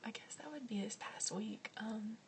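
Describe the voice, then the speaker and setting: Softly whispering young woman, chatty ASMR. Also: a little high, moderate pace, distant mic, a little hiss or hum. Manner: soft whispering, chatty, many ums and likes. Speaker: vlogger answering questions.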